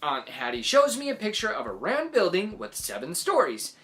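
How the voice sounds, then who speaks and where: A woman reading a children's story aloud in expressive, acted-out narration. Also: medium pitch, moderate pace.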